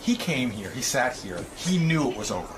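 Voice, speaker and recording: A man's voice: mid-range voice, very animated, fairly quick, noisy background. A man interjecting in studio conversation, insistent and repeating himself as he makes his point.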